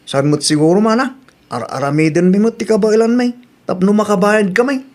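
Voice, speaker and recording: A man's voice: high voice, a little fast, a little mellow, close to an everyday voice. A man with an Ilocano accent acting an easygoing, modest young husband in an audio drama. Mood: modest, reassuring. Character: easygoing young husband, agreeable, modest, devoted to his wife.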